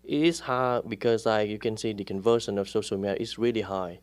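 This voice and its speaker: Halting low-voiced man searching for words. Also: fairly even intonation. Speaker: real estate agent, guest. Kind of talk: casual interview talk.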